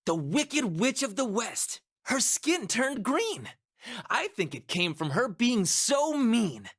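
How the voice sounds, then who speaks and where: Slow narrator reading story rhymes. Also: mid-range voice, very animated, a bit bright, slightly rough, no echo, clean studio sound. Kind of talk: scripted rhyming storybook narration.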